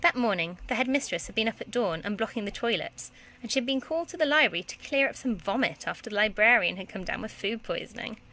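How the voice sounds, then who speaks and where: Female teacher for children's storytelling, bright, clear-cut expression with highly animated intonation. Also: fast. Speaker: primary school teacher reading aloud.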